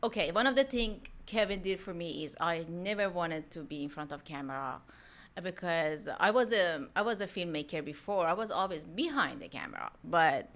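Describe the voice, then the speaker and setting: A woman's voice: on the low side, animated, normal speed, fairly bright tone. A woman from Iran with a thick Iranian accent, a native Persian speaker, chatting casually as an interview guest. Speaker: podcast guest, mortgage lender.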